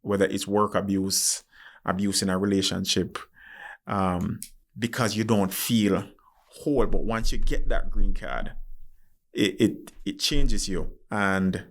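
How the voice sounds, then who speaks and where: A man's voice: low-pitched, slow. A man hosting a podcast interview, talking reflectively and earnestly and often giving short acknowledgements while his guest speaks. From Jamaica. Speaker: podcast host. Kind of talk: interview conversation.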